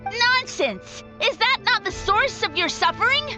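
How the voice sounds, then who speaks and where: Pompous, high-pitched little mushroom god. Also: female voice, animated, a little slow, loud music behind the voice. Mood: haughty, scornful. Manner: pompous, grandiose, theatrical. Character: small boastful male mushroom ex-god scheming for revenge. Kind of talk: video game character acting.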